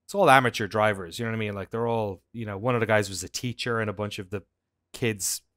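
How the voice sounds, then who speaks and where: An Irish man in his thirties, a podcast co-host telling anecdotes casually in an animated, informal way. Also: lowish voice, quick pace, no room sound, studio quality. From Ireland. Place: indoor room at home on a video call.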